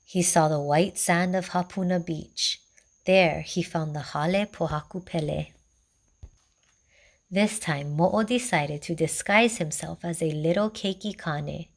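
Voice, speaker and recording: A woman reading a children's story slowly and gently. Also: on the low side, vocal fry. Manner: slow, gentle, even reading. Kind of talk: storybook read-aloud.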